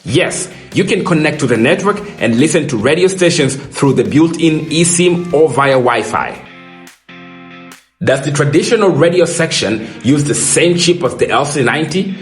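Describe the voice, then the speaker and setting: A man reading a scripted question-and-answer product explainer in a measured, explanatory way as a presenter. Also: on the high side, animated, roomy sound, soft background music.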